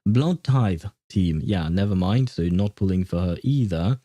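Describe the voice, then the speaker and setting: A man's voice: low voice, expressive, a little slow, warm tone, dry recording. A man chatting casually as a game livestreamer, rambling and hesitant as he thinks aloud.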